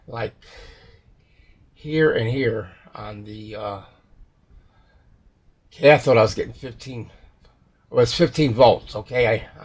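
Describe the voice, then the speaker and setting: A man with a gravelly, husky voice giving a casual, rambling repair walkthrough, hesitating and correcting himself as he goes. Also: mid-range voice. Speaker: repair technician.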